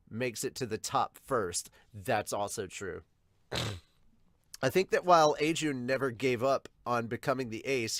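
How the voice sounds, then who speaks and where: Man, average pitch, casual comment reading, hesitant delivery, dry sound. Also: fairly bright tone, no room sound. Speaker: podcast host reading and discussing viewer comments. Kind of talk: casual reaction chat, reading comments aloud.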